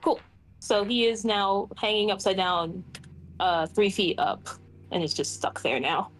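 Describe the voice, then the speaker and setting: A woman's voice: medium pitch, unhurried, slight background noise, rough recording. A woman playing a tabletop roleplaying game, casually explaining her moves and their rules.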